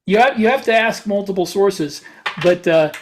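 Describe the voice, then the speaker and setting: High-pitched man in casual podcast talk. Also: animated, normal speed, a little hiss or hum. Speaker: podcast co-host. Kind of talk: casual panel discussion.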